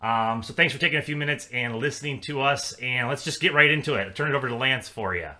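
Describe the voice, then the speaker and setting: A man's voice: medium pitch, fast talker, sharp tone. A man giving a casual, upbeat client update as a financial advisor, chatty with frequent fillers.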